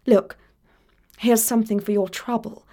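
A woman's voice: on the high side, steady tone, unhurried, dark tone. A woman voicing a haughty, dramatic young princess in a storybook, demanding and melodramatic. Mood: stunned. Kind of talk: acted dialogue in a storybook reading.

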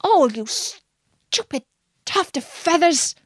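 Brave, impatient young male mongoose, read by a woman, brisk and sharp, storybook. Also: fairly high pitch, expressive, breathy edge, dry recording, studio quality. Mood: angry.

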